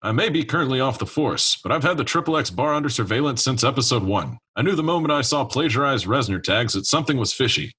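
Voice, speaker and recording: An actor playing a no-nonsense, wounded detective in a noir radio comedy, earnest and deadpan in his melodrama. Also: mid-range voice, fast, few pauses, husky. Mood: brooding, resolute. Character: no-nonsense, wounded, half-float detective, male, hardboiled. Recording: music playing behind the voice. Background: music.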